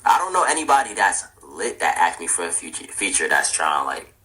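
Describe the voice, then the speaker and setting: A man's voice: low-pitched, very animated, a little fast, sharp tone, rough recording. A nineteen-year-old man, a rapper and producer, chatting casually as an interview guest, laid-back and full of slang. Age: young adult. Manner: laid-back slangy talk with frequent "bro".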